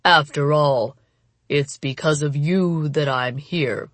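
A woman voicing a cruel, mocking male demon in an audiobook, speaking in a slow, menacing, gloating way. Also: low voice, no echo, voice pushed down. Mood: smug. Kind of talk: dramatic audiobook character voice.

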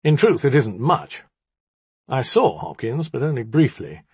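A man voicing a proud old spirit in rat form for a storybook, sardonic, brisk and easily huffy. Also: medium pitch, sing-song, slightly rough, dry recording, pitched above the performer's own voice, exaggerated voice. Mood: offhand.

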